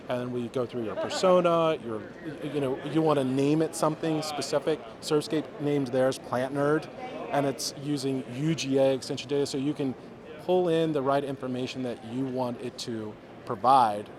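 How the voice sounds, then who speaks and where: Man, vendor guest, salesy and explanatory, busy crowd noise in the background. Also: mid-range voice. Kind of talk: product pitch. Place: trade show floor. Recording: crowd chatter behind.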